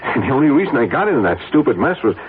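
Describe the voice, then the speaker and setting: A man's voice: mid-range voice, very animated, very fast, natural voice. An actor playing a young married office man, anxious, defensive and stammering, in an old-time radio drama. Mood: flustered, pleading. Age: young adult. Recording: tinny band-limited radio recording, faint noise.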